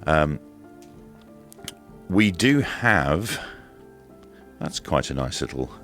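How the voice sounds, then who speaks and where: A man's voice: very deep voice, animated, extremely slow, a bit bright, faint music behind. A British man giving casual, informal hands-on commentary as he presents a product review. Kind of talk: casual unboxing and demonstration commentary.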